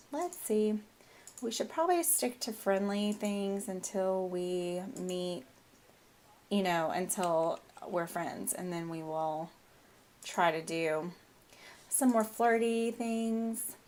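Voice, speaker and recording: A woman's voice: medium pitch, takes it slowly, a little mellow, a little hiss or hum. A woman in her thirties with a Southern US accent, chatting casually over her gameplay, her voice a little off from a lingering head cold and often breaking into giggles. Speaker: gameplay video host.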